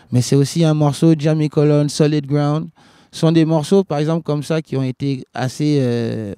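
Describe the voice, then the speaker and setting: A man who grew up in Liberia, a reggae singer answering interview questions in a halting way, with many restarts and fillers. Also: mid-range voice, dark tone, clean tone, no echo, studio quality. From West Africa, grew up in Liberia.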